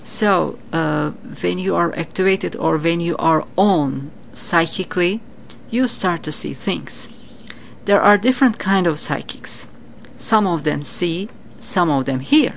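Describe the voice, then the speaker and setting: A middle-aged woman from Turkey speaks English with a Turkish accent, explaining her ideas slowly and earnestly. Her voice sits a little low, and she often repeats a word before going on. A faint hiss runs under the recording. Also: gravelly. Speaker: psychic guest. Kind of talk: interview answers.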